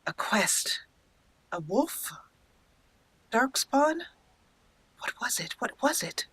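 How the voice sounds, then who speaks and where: A woman hosting a let's play, narrating it as an expressive storyteller and speaking for her character. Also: a little high, slow pace, slightly rough. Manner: expressive storyteller, acting out lines. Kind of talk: roleplay storytelling over gameplay.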